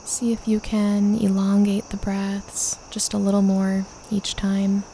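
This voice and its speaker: A woman leading a guided meditation, calmly and gently instructing with unhurried pauses. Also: mid-range voice, a little hiss or hum.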